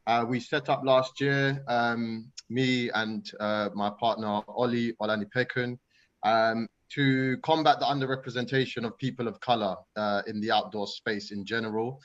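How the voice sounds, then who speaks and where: A man introducing himself as a panelist in a steady, measured way, pausing often on "uh". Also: medium pitch, lo-fi sound. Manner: steady, with many "uh" pauses. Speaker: bird-watching group founder, panelist. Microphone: video call.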